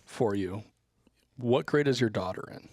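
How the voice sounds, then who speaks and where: A man with a medium-pitched voice co-hosting a podcast. He asks curious questions with a dry sense of humor. The recording is dead and close. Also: a little slow, darkish tone, dry recording. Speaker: podcast co-host. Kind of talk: casual podcast conversation.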